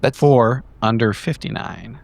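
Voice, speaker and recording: A man giving a measured, wordy recap in formal storytelling phrasing, as a player in a tabletop horror game. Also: mid-range voice, creaky, darkish tone. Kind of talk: in-character recap narration for an actual play. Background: background music.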